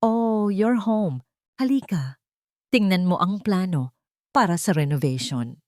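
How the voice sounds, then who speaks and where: A woman's voice: lowish voice, lively intonation, slow, darkish tone, dry recording, studio quality. A woman playing a deceitful husband in a story, casual and agreeable on the surface. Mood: casual, welcoming.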